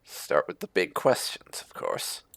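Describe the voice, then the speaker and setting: A man's voice: a little slow, fairly bright tone, dry recording. A man giving casual in-character roleplay commentary on a video game, musing wryly with muttered asides. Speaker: gaming channel host.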